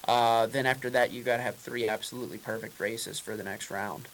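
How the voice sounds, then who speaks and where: A man's voice: medium pitch, fairly even intonation, brisk, a bit bright, slight background noise, poor audio. A man, a race car driver, answering press questions in a casual, rambling way with many fillers and restarts.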